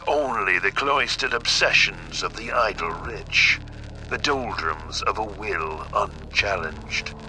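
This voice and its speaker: An actor playing a solemn, regretful older patriarch, giving a formal, deliberate message on an old tape in an audio drama. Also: male voice, sits low, poor audio. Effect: old tape recording. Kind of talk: slow scripted monologue, audio drama.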